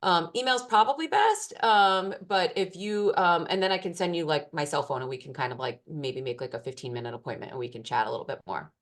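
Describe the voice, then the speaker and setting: Low-voiced woman answering class questions. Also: expressive, a little fast, hardly any pauses. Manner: casual, many fillers. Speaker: food safety extension specialist.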